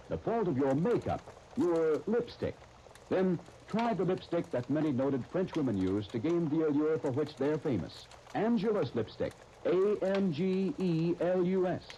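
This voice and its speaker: A male 1930s radio announcer with a mellow, expressive voice. He reads scripted copy with polished drama and deliberate stresses. The old broadcast disc carries faint crackle. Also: a little high. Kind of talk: 1930s radio scripted narration and sponsor reading.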